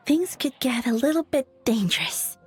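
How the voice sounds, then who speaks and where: An eager young flower seller from a video game. The high voice is polite and chirpy with a touch of shyness, over light music. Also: female voice, expressive, moderate pace. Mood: cautioning. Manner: chirpy, polite, slightly shy. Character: earnest, eager young flower shop assistant girl. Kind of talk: video game character acting.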